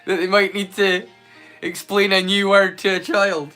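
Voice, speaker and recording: A man's voice: high-pitched, little pitch movement, unhurried, pushed and bright, soft background music. A middle-aged man from the UK hosting a watch-along with casual live commentary.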